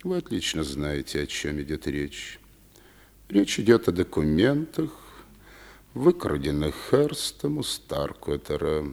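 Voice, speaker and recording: Deep-voiced menacing henchman. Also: sing-song, unhurried, vocal fry, darkish tone, heavily acted. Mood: accusing, probing. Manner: insinuating, threatening. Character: menacing male henchman of a powerful boss, sly negotiator. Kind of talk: radio play acting.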